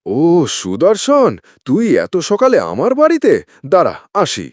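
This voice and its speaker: A man's voice: high voice, wildly swooping pitch, a little slow, no echo, clean studio sound, exaggerated voice. A man playing plain-spoken, folksy village men in a cartoon fairytale. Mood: friendly. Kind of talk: Bengali cartoon fairytale dialogue.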